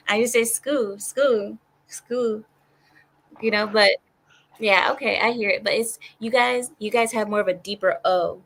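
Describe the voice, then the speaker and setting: A woman's voice: mid-range voice, a bit bright. A woman who is a native Arabic speaker, hosting a casual group chat and repeating words as she explains herself. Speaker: host of a group discussion.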